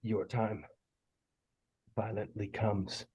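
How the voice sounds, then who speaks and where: A man acting a creepy mysterious stranger who preaches doom solemnly in a husky voice, reading a horror script. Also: fairly low pitch, little pitch movement, a little mellow, muffled recording, exaggerated voice. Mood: ominous. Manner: slow, solemn, scripture-quoting delivery. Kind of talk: table read of a horror script.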